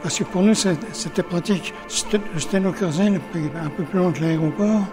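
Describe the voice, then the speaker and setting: A man's voice: high-pitched, fairly quick, music in the background. An older man from Paris, interviewed at a cinematheque, talking hesitantly with many restarts and fillers. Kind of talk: casual interview. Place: cinematheque in a city.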